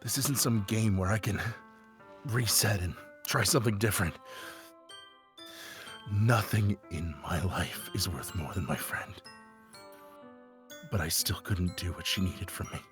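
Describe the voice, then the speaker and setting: A man plays a teenage schoolboy narrating his own visual novel story. He speaks slowly and haltingly, stumbling over words with raw, anguished feeling. Music plays behind the dramatic delivery. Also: low voice. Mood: devastated. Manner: halting, stammering, emotionally raw. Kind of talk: dramatic first-person visual novel fan dub.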